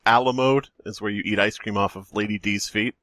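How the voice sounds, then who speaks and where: A man's voice: lowish voice, fairly even intonation, brisk, a bit bright, dry recording. A man co-hosting a podcast with casual, quippy, joking banter. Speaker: podcast co-host.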